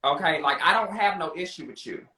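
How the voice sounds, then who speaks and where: A man's voice: on the high side, lively intonation, fairly quick, bright, forceful tone. An American man chatting confrontationally, sassy, slangy and defensive, recorded with a roomy echo. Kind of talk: casual confrontational chat.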